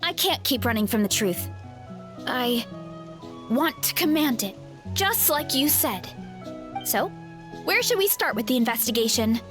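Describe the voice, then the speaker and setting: Timid young heroine. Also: female voice, high voice, big pitch swings, a little slow, long pauses, music in the background. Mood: determined. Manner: gentle, hesitant, earnest. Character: timid young woman warrior finding her courage.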